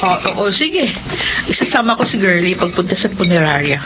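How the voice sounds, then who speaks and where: A woman playing a caring, practical mother in a radio horror drama, with a maternal, expressive delivery. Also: on the low side, moderate pace, close to an everyday voice. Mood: concerned. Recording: dull lo-fi audio with loud noise.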